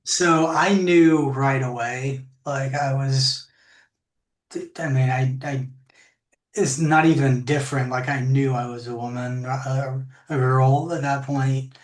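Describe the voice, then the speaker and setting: A woman with a deep voice, a podcast guest talking things through in a reflective way, often pausing and restarting. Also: fairly even intonation. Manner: reflective, unhurried, many fillers and restarts. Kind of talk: casual interview talk. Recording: echoey room.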